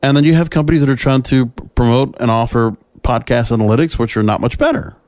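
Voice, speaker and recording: A male podcast host with a mid-pitched voice delivers solo commentary in a dry, close-sounding recording. He talks conversationally and emphatically, speaking straight to his listeners and posing rhetorical questions as he argues his opinions. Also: fast talker, darkish tone, no echo.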